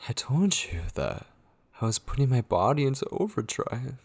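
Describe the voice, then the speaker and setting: A man playing an obsessive, possessive kidnapper in an ASMR horror roleplay, with a breathy, gravelly voice that slips into whispers and lingers tenderly and menacingly over words. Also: medium pitch, big pitch swings, normal speed, a little mellow, exaggerated voice. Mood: insistent, possessive. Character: obsessive, possessive male kidnapper, unhinged, taunting and devoted.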